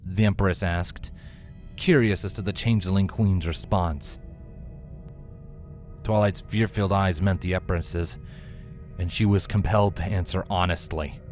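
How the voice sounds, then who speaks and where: A man reading scripted fantasy fiction as a narrator, in a measured, solemn, dramatic way. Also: low-pitched, steady tone, a little mellow, soft background music. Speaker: narrator, fan fiction reader.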